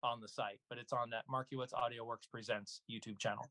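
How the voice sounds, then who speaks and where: A man's voice: mid-range voice, steady tone, fairly quick, pushed and bright. A man speaking casually as an interview guest, an actor and narrator talking about his own work.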